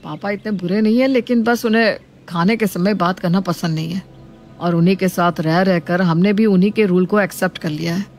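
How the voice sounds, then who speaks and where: A woman's voice: on the low side, fairly quick, darkish tone, soft background music, natural voice. A woman voicing a young husband who explains things patiently and respectfully in a family story. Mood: calm, persuading. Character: young husband, calm, reasonable, respectful son.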